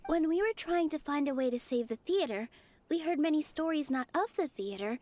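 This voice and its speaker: A woman playing a gentle, earnest, kind young woman in an anime video game, speaking carefully and sincerely. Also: sits high, lively intonation, a little fast. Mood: earnest, warm. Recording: music behind the voice.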